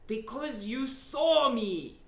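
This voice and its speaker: A woman's voice: fairly high pitch, animated, takes it slowly, pitched above the performer's own voice. A woman voicing a big, friendly, earnest male giant from a children's storybook, emphatic and drawn out, with muddled grammar. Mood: earnest explaining. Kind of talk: children's storybook character reading. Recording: echoey room.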